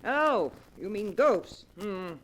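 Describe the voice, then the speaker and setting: An actress playing a brusque, skeptical elderly spinster aunt in a dismissive, scoffing 1940s radio drama style. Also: female voice, mid-range voice, animated, slow. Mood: scornful. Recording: noisy old 1940s radio recording.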